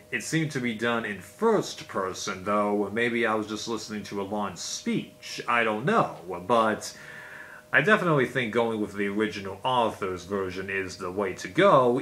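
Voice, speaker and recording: Casting: male video host, slightly low voice, rambling casual explainer delivery, faint noise and hum on a home recording. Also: a bit bright. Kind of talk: casual unscripted explainer commentary.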